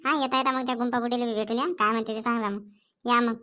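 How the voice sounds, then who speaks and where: Woman playing a high-voiced, quick village wife. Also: steady tone, sharp tone. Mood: brisk, instructing. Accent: Vidarbha Marathi. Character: brisk village farm wife, practical and bossy. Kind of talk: comic cartoon dialogue.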